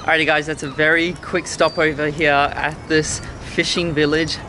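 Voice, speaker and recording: A man's voice: a little high, flat delivery, normal speed, bright, forceful tone, a little hiss or hum. A young man vlogging a trip, upbeat and chatty as he shows places to his viewers. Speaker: travel vlogger. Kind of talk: casual travel vlog. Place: outdoors. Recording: music under the voice.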